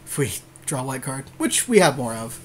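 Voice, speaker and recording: Mid-pitched man bantering over a game. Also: sing-song, a little fast, a little hiss or hum. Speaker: co-host of a card game podcast. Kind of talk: casual game commentary and banter.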